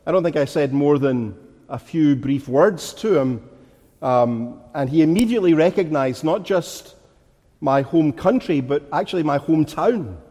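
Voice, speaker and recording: Slow-paced Scottish preacher in a reverberant hall. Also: male voice, fairly high pitch, expressive, dark tone. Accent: Glaswegian Scottish. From Glasgow, Scotland. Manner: earnest, rhetorical, emphatic repetition. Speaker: pastor. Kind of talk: sermon. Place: large echoing church or hall.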